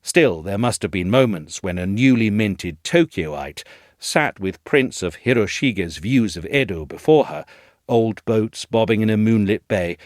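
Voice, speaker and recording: Deep-voiced, expressive male essay narrator. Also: measured pace, no echo, clean studio sound.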